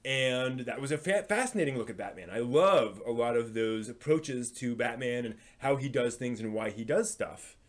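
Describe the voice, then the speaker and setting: A man hosting a live stream, talking in a casual, analytical way and often halting as he thinks aloud. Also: medium pitch, animated. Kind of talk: casual rambling live commentary on anime and comics.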